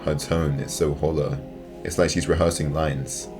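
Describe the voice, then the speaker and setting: A man's voice: extremely low pitch, flat delivery, normal speed. A man reading scripted, analytical narration as the host of a video essay. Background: music.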